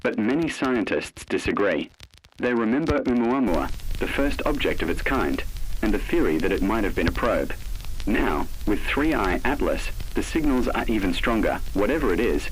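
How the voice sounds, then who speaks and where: Low-voiced man giving an ominous alert. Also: slight background noise, rough recording. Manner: grave, ominous warning delivery. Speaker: horror channel narrator. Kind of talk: scripted analog horror alert narration.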